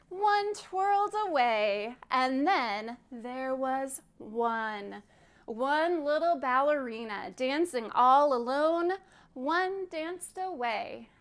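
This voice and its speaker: A woman leading a virtual library storytime, telling a story to children gently and clearly and inviting them to count along. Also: high-pitched.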